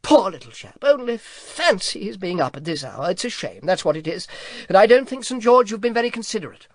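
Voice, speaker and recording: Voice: medium pitch, big pitch swings, a little fast, no echo, studio quality, voice pushed up. A reader voicing a big, good-natured male dragon who murmurs sleepily in a half-whisper. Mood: bustling.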